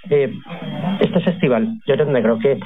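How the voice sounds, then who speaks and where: A man's voice: mid-range voice, a little slow. A retired man in his sixties hosting a radio interview, chatty and hesitant, often repeating his words. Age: 60s. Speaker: radio host. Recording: phone-like radio sound with music behind. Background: music.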